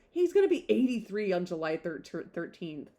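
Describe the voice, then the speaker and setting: A woman with a slightly low-pitched voice reads aloud as a podcast host. Her delivery is informal audiobook style, mixed with chatty, rambling asides addressed to the listener. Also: sing-song, a little slow, dark tone. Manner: conversational, rambling off on tangents in asides. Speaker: podcast host reading a classic novel aloud.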